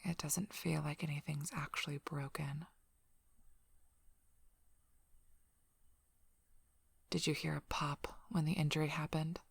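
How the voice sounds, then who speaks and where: A woman playing a curt, sardonic, guarded female werewolf pack leader in an ASMR role-play, speaking bluntly and drily in a breathy, often whispered voice. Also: deep voice, fairly bright tone, dry recording, heavily acted. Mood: clinical, guarded. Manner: blunt, dry, impatient, unhurried.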